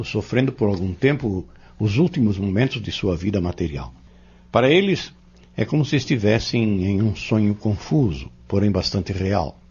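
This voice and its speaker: An actor playing a patient spiritist mentor in an audio drama, with a Brazilian accent and a formal, measured, preacherly delivery. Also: male voice, fairly low pitch, darkish tone, slightly rough, heavily acted. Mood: solemn, explanatory. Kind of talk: audio drama acting, didactic monologue. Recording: dull lo-fi audio.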